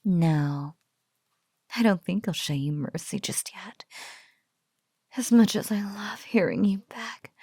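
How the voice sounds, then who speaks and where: A woman acts out a female spider creature who toys with a captive, doting and playfully cruel. The delivery is very slow and breathy, often sinking into a soft whisper. It sounds close and dry, in the manner of an ASMR roleplay. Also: mid-range voice, no echo, full character voice. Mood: mock-sweet, teasing.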